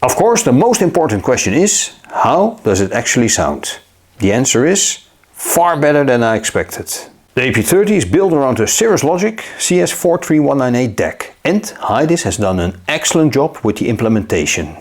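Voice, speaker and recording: A man narrating a scripted gadget review in a measured, upbeat way. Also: medium pitch, sing-song. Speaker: tech reviewer.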